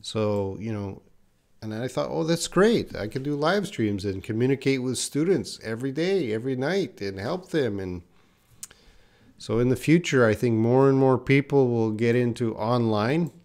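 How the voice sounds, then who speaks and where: A man's voice: mid-range voice, animated, moderate pace, a little mellow. A man, an English teacher, chatting on a live-stream class and answering viewers' comments as he reads them. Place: in Korea.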